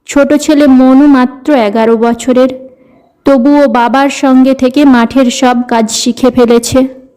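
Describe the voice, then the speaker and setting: A woman's voice: high voice, fairly even intonation, slow, warm tone, clean tone, poor audio. A woman reading scripted narration for a cartoon story as its storyteller.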